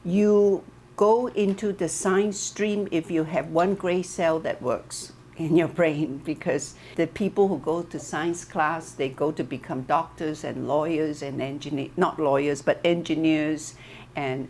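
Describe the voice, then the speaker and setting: A middle-aged woman who grew up in Malaysia, speaking English as a second language, gives an informal talk over a video call, telling stories with reflective pauses and lively emphasis on key words. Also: sits low, slight background noise. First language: Chinese. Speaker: wellness speaker.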